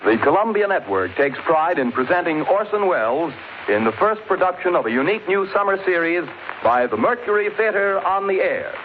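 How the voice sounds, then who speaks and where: A man's voice: sits high, big pitch swings, brisk, narrow, tinny audio. A man introducing a summer radio series in a grand, promotional, declamatory way. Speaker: network announcer. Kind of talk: formal 1930s radio announcing. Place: broadcast studio. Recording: scratchy, hissy 1930s radio broadcast.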